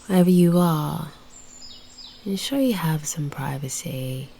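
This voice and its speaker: A British woman guiding a meditation in a soft, breathy, near-whispered voice, soothing and unhurried, leaving long pauses. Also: deep voice. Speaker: meditation guide and host. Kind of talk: guided meditation narration.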